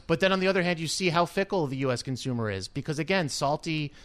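A man's voice: a little high, lively intonation, fast, fairly bright tone. A man working as a consumer reporter, giving informal, emphatic business analysis in conversation.

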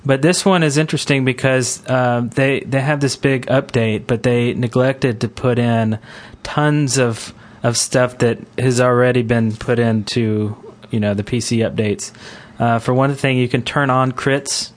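A man co-hosting a gaming podcast in a laid-back, somewhat halting conversational way. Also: mid-range voice, fairly even intonation. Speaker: podcast co-host. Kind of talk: casual gaming podcast discussion.

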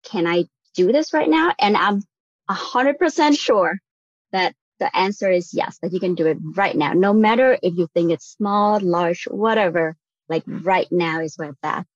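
Woman from Vietnam, podcast guest: earnest, conversational, slightly low voice, close dry but muffled lo-fi sound. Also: moderate pace, no room sound. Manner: earnest, frequent "like" and "right?" tags. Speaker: guest on a real estate podcast. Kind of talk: reflective conversational interview answer. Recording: dull lo-fi audio.

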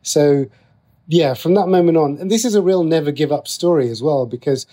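A man's voice: fairly high pitch, moderate pace, warm tone. A man chatting as an interview guest, thinking aloud and often rephrasing as he goes. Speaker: interview guest, TV and radio writer. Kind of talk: casual interview conversation.

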